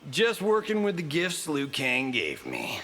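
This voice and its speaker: An actor playing a smug, flippant celebrity fighter showing off in a fighting game. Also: male voice, on the high side, huge pitch swings, measured pace, heavy background noise, heavily acted. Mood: showy, smug. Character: flashy, smug American celebrity fighter, show-off. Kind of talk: video game fight banter.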